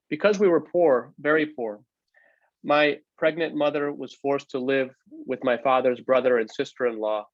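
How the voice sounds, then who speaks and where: Guy reading someone's life story out loud, phrase by phrase, dull dry audio, no room echo. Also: medium pitch. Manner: measured phrase-by-phrase reading, occasional slips. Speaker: book co-editor reading aloud. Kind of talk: read-aloud oral history narrative.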